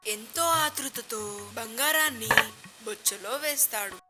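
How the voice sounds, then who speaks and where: A woman's voice: a little high, slow pace, bright, forceful tone, faint music behind. A woman storyteller reading scripted narration of a moral tale.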